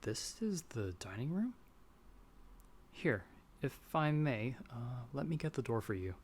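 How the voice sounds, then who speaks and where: A man's voice: mid-range voice, sing-song, measured pace, breathy edge, full character voice. A man playing a humble young farmer's son in an audio roleplay, speaking hesitantly with courteous, old-fashioned formal phrasing. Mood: flustered. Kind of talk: ASMR audio roleplay acting.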